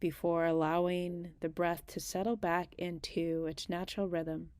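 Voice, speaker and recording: A woman guiding a meditation in a gentle, unhurried manner, leaving long pauses between short phrases. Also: fairly low pitch, level tone, clear, resonant tone, dry recording. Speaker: meditation guide. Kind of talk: guided body scan meditation.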